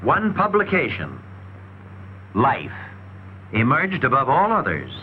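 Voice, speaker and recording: Noisy vintage soundtrack, animated male promotional narrator. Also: medium pitch, slow. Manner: emphatic, rhetorical, salesmanlike delivery. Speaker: training film narrator. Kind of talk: scripted 1940s promotional film narration. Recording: dull, noisy 1940s film soundtrack.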